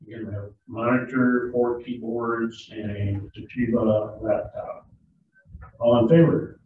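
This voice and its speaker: A man's voice: medium pitch, fairly even intonation. Man reading bills in an echoey hall. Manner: brisk, matter-of-fact, rattles off figures. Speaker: town supervisor chairing a board meeting. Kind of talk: reading resolutions and bills at a meeting. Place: large town hall meeting room. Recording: distant echoey hall audio, thin phone-like sound, faint hiss.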